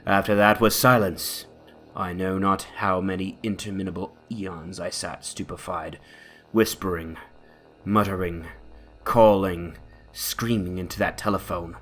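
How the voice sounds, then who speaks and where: A man's voice: low voice, steady tone, a little slow, a bit bright. A man playing a shaken, horror-stricken witness, narrating gothic testimony in a grave, theatrical way. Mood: haunted anguish. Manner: theatrical, grave, swelling into anguished cries. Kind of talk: dramatic horror reading. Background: music.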